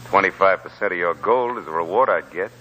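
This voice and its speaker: Husky, forceful drifter. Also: male voice, deep voice, fairly quick, lo-fi sound. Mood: calm, persuasive. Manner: direct, calculating. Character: cool, bold male stranger bargaining with prospectors. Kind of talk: 1940s radio acting. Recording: old 1940s radio broadcast.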